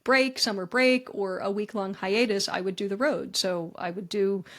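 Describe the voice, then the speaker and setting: Woman telling showbiz stories on a podcast, chatty and wry, mid-pitched voice. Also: steady tone. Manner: chatty, self-deprecating, quick asides. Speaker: guest, comedy writer and speaker. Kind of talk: conversational interview answers.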